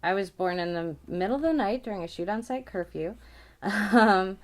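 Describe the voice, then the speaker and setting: A woman's voice: medium pitch, moderate pace, crowd noise. A woman in her thirties hosting a casual, personal podcast conversation, speaking in hesitant, stop-start phrases. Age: 30s. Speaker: podcast host.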